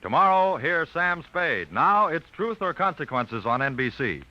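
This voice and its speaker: A man's voice: on the high side, sing-song, normal speed. Over prominent music on a muffled vintage radio recording, a man announces in scripted 1950s style. His delivery is formal and dramatic, with portentous, widely varied intonation. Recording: muffled old radio broadcast. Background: loud theatrical music.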